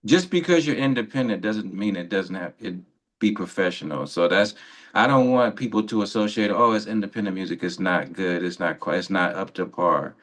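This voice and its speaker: A man's voice: low-pitched, a little fast, a bit bright. A man co-hosting a radio interview, talking casually and giving rambling, encouraging advice. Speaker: radio co-host.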